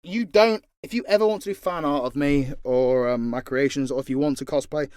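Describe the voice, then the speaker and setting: A man's voice: medium pitch, fairly quick, darkish tone, clean tone, no room sound, clean studio sound. A British man answering fan questions in a casual, unscripted way, blunt and joking, with plenty of swearing. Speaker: voice actor and content creator answering fan questions.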